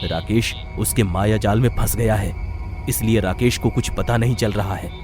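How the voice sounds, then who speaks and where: A man's voice: fairly low pitch, normal speed, over music, lightly acted. A man playing an old tantric holy man in a horror story, solemn and resolute, speaking emphatically. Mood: grave, urgent. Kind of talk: dramatic horror story acting.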